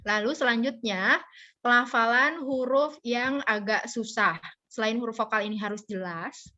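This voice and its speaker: A woman's voice: on the high side, normal speed, bright, forceful tone. A woman giving an informal, playful lesson as a public speaking instructor over a video call. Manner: playful, joking, colloquial. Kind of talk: informal interactive online lesson. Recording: dull, band-limited video call audio.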